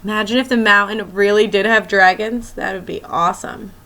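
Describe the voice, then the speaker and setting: A woman's voice: mid-range voice, fairly even intonation, brisk, sharp tone. A woman schoolteacher reading a children's picture book aloud, expressively and as if speaking directly to young listeners.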